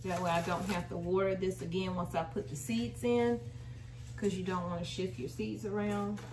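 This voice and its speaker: A woman's voice: lowish voice, fairly even intonation, moderate pace. An American woman talks through a homely how-to in a casual, folksy way. She is recorded indoors some distance from the microphone, with noticeable room echo and a little background noise. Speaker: home gardening video host. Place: small indoor room at home. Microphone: camera a short distance away. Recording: roomy, distant mic, faint noise.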